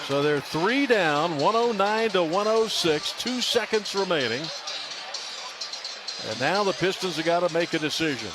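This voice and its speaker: A male play-by-play announcer with a fairly high voice works a live basketball radio broadcast from an arena. Loud background noise surrounds him as he emphatically calls the score and the action. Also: expressive, a little slow. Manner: emphatic, calling out scores and plays. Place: large indoor basketball arena. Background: loud arena noise, bouncing basketball.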